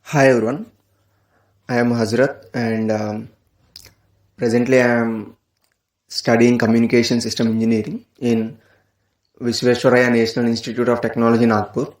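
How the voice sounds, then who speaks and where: A man's voice: mid-range voice, level tone, moderate pace, pauses often, echoey room. A young man with an Indian accent, a student giving a short self-introduction in a played-back voice sample. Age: young adult.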